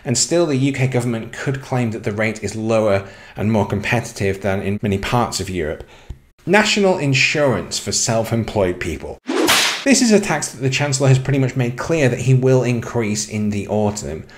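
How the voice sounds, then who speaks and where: A man narrating a scripted explainer as presenter, in a measured, announcing manner. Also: medium pitch, expressive.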